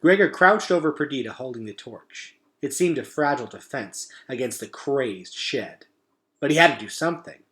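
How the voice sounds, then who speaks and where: A man reads an adventure novel aloud in a mid-pitched voice. His delivery is very animated and full of suspense, swinging up and down like a storyteller holding young listeners. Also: moderate pace. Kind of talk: dramatic children's novel read-aloud.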